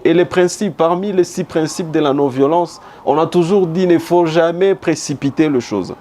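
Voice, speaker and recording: A man's voice: a little high, moderate pace, dark tone. A man from DR Congo with a Congolese accent, giving emphatic, rhetorically repetitive answers as a politician in a broadcast interview. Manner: emphatic, repeats phrases for rhetorical effect. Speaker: politician and former humanitarian worker being interviewed. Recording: background noise and room ambience.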